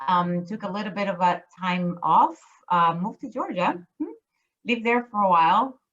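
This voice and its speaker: A woman's voice: fairly low pitch, fairly bright tone, muffled recording. A middle-aged woman from Chile, a cruise line business development manager, talking enthusiastically with many restarts in a casual interview. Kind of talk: casual interview chat over video call. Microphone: video call.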